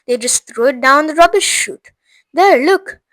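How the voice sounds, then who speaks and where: A woman voicing an eccentric male factory owner who explains things briskly. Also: high-pitched, animated, fairly bright tone, dry recording, clean studio sound, pitched above the performer's own voice. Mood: explaining.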